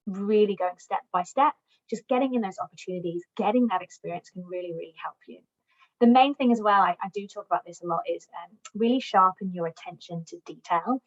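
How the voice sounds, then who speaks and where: A woman giving an encouraging job search presentation to migrants, speaking in a friendly advisory way. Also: mid-range voice. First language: English, also Welsh. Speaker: recruitment platform customer success manager giving a job search talk. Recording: dull lo-fi recording.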